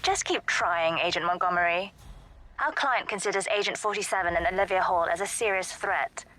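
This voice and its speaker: A woman's voice: fairly low pitch, a little fast, bright, forceful tone, slight background noise. An actress playing a commanding female agency team leader in a video game, giving clipped orders. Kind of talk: video game cutscene acting.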